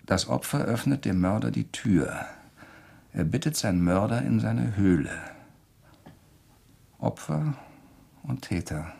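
An actor playing a polite, coolly probing male writer who deliberately provokes, in a German crime radio play. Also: lowish voice, lively intonation, gravelly, a little mellow, breathy edge, full character voice. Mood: insinuating, intense.

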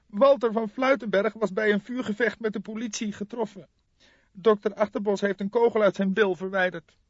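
A man's voice: sits high, steady tone, moderate pace, no echo, dull audio, pitched above the performer's own voice. A man voicing a distressed young woman, a doctor's assistant, anxious and hesitant, in an audiobook. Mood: reluctant.

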